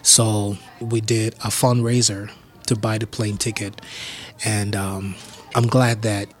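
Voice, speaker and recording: A man in his thirties with a Cameroonian accent, telling his life story in simple, unhurried explanations sprinkled with "you know". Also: on the low side, flat delivery. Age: 30s. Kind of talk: personal storytelling.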